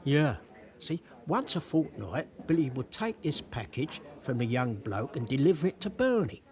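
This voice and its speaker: An actor playing a cagey small-time police informant in a radio drama, evasive yet confiding. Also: male voice, medium pitch, sing-song, normal speed, darkish tone. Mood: insistent.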